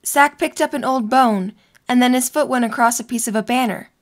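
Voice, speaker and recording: A woman's voice: on the high side, brisk. A young woman reading scripted story narration for an audio drama.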